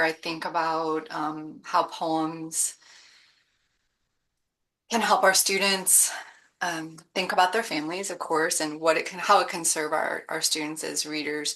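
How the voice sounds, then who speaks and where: Gravelly, low-voiced woman host. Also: sharp tone. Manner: chatty, enthusiastic, with many fillers. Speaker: host and educator. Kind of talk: casual interview chat.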